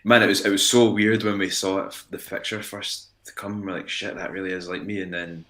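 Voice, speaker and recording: Scottish man, young adult, very low voice, quick rambling chat, musician being interviewed. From Scotland. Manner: animated, rambling with frequent restarts. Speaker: musician guest.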